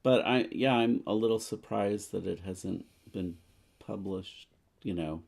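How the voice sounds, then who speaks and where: A man's voice: on the low side, unhurried, creaky, a little mellow. A man chatting casually as a co-player while working through moves in a tabletop game. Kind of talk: casual chat during a game.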